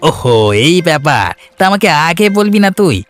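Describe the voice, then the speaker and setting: A man's voice: a little high, lively intonation, normal speed, fairly bright tone, soft background music. A man voicing a friendly, generous village fisherman in a Bengali moral-tale cartoon, with a hearty, familiar, folksy delivery.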